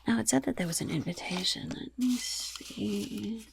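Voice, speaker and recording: A woman voicing a friendly female goblin rogue in an ASMR fantasy roleplay, with a breathy, raspy whisper and gently encouraging, genteel phrasing. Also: medium pitch, measured pace, slight background noise. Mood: pleased, encouraging.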